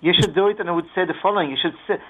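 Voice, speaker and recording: Brief: earnest Israeli-accented male radio guest, slightly high voice, phone-line sound. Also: racing. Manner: earnest, many fillers and restarts. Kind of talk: radio interview.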